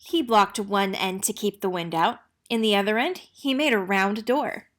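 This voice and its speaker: A woman reads a children's storybook aloud at a medium pitch. She narrates expressively, as a storyteller would for young listeners. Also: normal speed, a bit bright. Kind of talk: children's picture book read-aloud.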